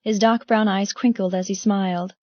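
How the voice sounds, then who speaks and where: A woman's voice: medium pitch, steady tone, normal speed, mellow, dry recording, highs cut off. A woman reading first-person dramatic fiction as an audiobook narrator.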